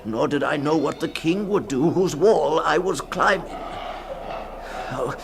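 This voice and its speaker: An actor playing a terrified, desperate climber in a theatrical, halting radio monologue from the 1960s. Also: male voice, fairly high pitch. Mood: fearful, hunted. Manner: theatrical, halting, gasping, lurching between whispers and cries. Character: terrified, desperate male climber narrating his nightmare escape. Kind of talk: 1960s radio dramatic monologue of a fantasy tale. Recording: noisy 1960s radio recording.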